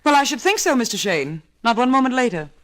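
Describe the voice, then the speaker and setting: A haughty, nervous wife in an old-time radio mystery. She talks fast with big swoops of pitch, snippy and imperious. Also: sing-song, over loud music. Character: anxious, haughty, sharp-tongued wife of an old family.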